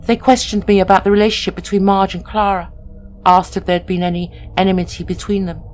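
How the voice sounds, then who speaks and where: A woman reading a scripted first-person horror story in a measured, dramatic, suspenseful way. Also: medium pitch, little pitch movement, darkish tone, a little hiss or hum.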